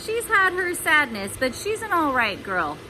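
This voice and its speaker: Storybook old fisherman played by a woman: kindly, chatty, folksy, fairly high voice, noisy recording. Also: very animated, measured pace. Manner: folksy, colloquial. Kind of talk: children's storybook read-aloud.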